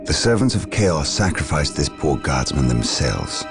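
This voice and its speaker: A man playing a solemn, proud scholar among space marines in a video game, speaking in a measured, formal way. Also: very deep voice, very animated, over music, heavily acted. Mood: solemn, studious.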